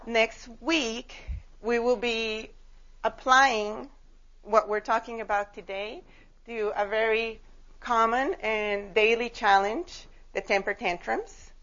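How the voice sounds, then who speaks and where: A woman's voice: on the high side, steady tone, slow pace, fairly bright tone. A woman from Costa Rica giving an informal workshop talk, conversational and drawing listeners in with questions. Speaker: workshop leader, child psychotherapist and art therapist. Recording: dull lo-fi live room recording.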